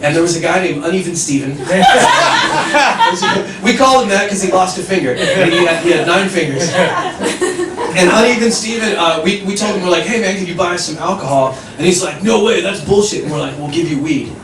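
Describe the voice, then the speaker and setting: American stand-up guy, pretty high voice, far off mic in an echoey club, animated self-mocking story. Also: moderate pace. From United States. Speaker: stand-up comedian. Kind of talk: stand-up comedy set. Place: comedy club stage. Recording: echoey room, distant mic, audience noise.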